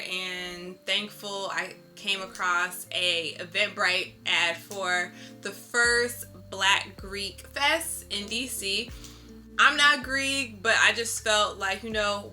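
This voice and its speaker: Casting: American woman, bright forceful voice, expressive informal interview guest, music underneath. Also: mid-range voice, takes it slowly. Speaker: interview guest, visual artist. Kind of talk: casual interview chat.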